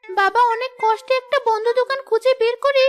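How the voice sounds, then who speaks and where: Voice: very high voice, a little fast, fairly bright tone, soft background music. A performer voicing a young ghost schoolgirl in a children's cartoon, polite and eager. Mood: apologetic.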